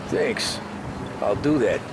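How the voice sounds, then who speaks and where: A man's voice: on the low side, animated, slow pace, heavy background noise. An actor playing a dry, dutiful 1930s American mob hitman in a crime game, speaking in a laconic, understated way. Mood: dry, wry. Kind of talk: cinematic crime game acting.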